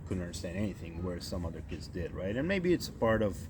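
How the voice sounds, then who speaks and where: Interviewee, male, 30s, from Croatia; very low voice, relaxed filler-heavy talk, noisy recording. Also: fast talker. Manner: relaxed, says "you know" and "right?" a lot. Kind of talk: casual interview answers.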